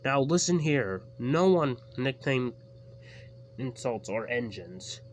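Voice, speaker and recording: A man's voice: a little high, measured pace, slight background noise, voice pushed up. A man voicing an indignant male engine driver, firm and scolding.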